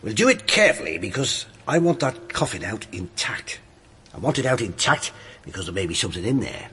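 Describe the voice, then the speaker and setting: Blunt police inspector, very lively pitch. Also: male voice, a little fast, slight background noise. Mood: firm, insistent. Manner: blunt, authoritative. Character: businesslike, commanding male police detective inspector. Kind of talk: radio drama acting.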